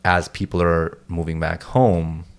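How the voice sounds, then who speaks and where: Low-voiced male podcast host. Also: a little slow, smooth. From Toronto area, Canada. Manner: relaxed, frequent "um" and "you know", repeats words. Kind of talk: casual podcast chat. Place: podcast studio. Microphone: studio headphones and mic.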